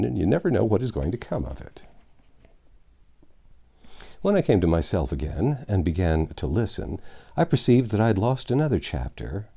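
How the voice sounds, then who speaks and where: A man narrating a classic novel aloud, expressive with wry asides and occasional self-corrections. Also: lowish voice, creaky.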